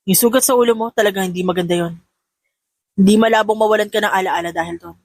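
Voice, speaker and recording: Woman doing a calm, gentle male doctor, lowered pitch. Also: dry recording, natural voice. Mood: calm, explaining. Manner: gentle, measured, reassuring.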